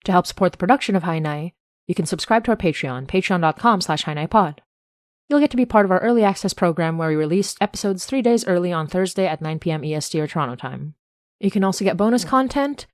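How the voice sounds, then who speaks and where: A woman delivers a scripted podcast outro with credits and announcements. Her voice is on the lower side, recorded close and very dry. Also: no room sound. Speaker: podcast creator reading credits.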